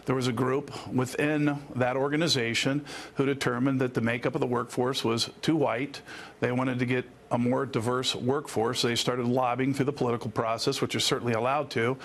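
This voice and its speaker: A man's voice: mid-range voice, little pitch movement, fairly quick, fairly bright tone. A man speaking as an expert guest in a news interview, explaining in earnest detail with formal, legal phrasing. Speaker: attorney and former air traffic controller, interview guest.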